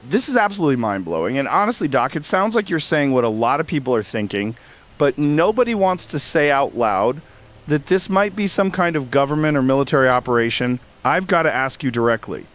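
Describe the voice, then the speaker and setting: A man playing an excitable radio host in a scripted drama, pressing with emphatic, slangy, incredulous questions. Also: mid-range voice, a little fast, lightly acted. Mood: amazed, pressing. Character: excitable male talk radio host probing conspiracy theories.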